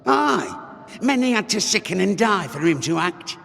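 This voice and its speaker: A male actor playing an angry, pious Puritan settler in a video game, raspy and gravelly, with archaic speech in long reverb. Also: sits high, very animated, unhurried, fairly bright tone, exaggerated voice. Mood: indignant. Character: angry zealous Puritan settler man, vengeful ghostly echo. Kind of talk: dramatic video game acting.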